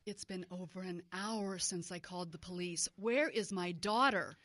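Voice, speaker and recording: A woman's voice: fairly low pitch, normal speed, fairly bright tone, no echo. A woman playing an anxious, indignant mother of a missing child in an audio drama, distressed and demanding. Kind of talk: modern audio drama acting.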